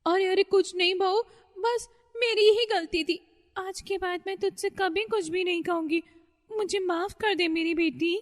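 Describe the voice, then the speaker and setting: A woman's voice: fairly even intonation, measured pace, long reverb tail. A woman voicing a fretful older mother-in-law who panics easily, in a cartoon story. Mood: pleading. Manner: shrill, panicky.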